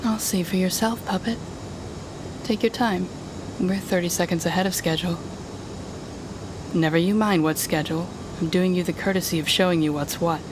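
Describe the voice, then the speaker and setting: A woman playing a smug, controlling telepathic villain in an audio roleplay, taunting the listener in a deliberate, condescending manner. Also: lowish voice, fairly even intonation, background noise, lightly acted. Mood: cold, persuasive.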